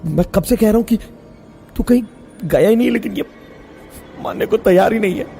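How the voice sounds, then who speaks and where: Casting: male narrator as troubled young male athlete in horror audio story. Raised pitch, fearful, halting and earnest, with music behind. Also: mellow.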